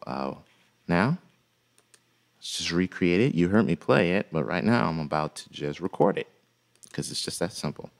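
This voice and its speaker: A man with a deep voice teaching music production in a relaxed, folksy way, with many "uh" fillers. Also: vocal fry. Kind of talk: casual software tutorial.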